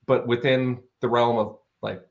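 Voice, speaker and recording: A man's voice: medium pitch, little pitch movement, measured pace, creaky, phone-quality sound. An American man in his thirties speaking as an interview guest, telling stories in a casual, enthusiastic and wordy way. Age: 30s. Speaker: interview guest, fantasy author. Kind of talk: casual conversational storytelling.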